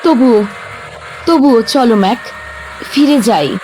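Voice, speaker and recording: A woman voicing a jittery young fiancée in an audio drama, pleading and anxious. Her voice is high, with faint background hiss. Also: lively intonation, slow, mellow.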